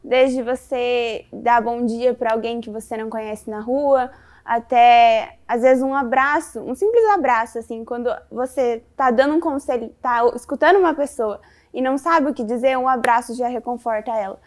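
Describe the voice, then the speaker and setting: High-voiced earnest young woman. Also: moderate pace. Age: around 20. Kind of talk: interview answers.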